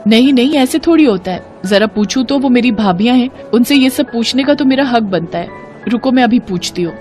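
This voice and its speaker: Woman doing a young married daughter-in-law in a hindi cartoon, highish voice, hurt and puzzled, music behind. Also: animated, a little fast, a little mellow, close to an everyday voice. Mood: insistent. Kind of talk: Hindi cartoon family drama acting.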